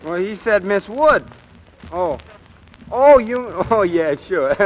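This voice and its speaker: A man's voice: sits high, animated, slow. A man acting a blunt, unimpressed teenage boy next door, brash and slangy, with an American accent, in a 1940s radio comedy. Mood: cheeky, puzzled. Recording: muffled, noisy old radio recording.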